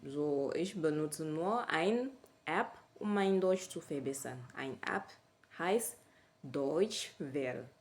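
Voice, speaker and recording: A woman's voice: sits low, lively intonation, takes it slowly. A woman speaking German with a French accent as a learner, hesitant and often stopping to correct herself in a casual vlog. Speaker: language learner sharing her method. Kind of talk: casual vlog talk to camera.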